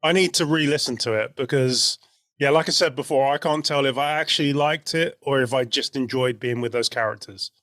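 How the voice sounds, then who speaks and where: A man with a medium-pitched voice, recorded close and dry. He chats casually and banters like a podcast co-host talking with a friend. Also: brisk, fairly bright tone, no echo.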